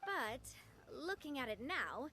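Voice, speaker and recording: A woman's voice: sits high, big pitch swings, slow pace, bright, forceful tone, full character voice. A woman voicing a gracious, earnest young lady gang leader in a video game. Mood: gracious, composed. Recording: game audio under music.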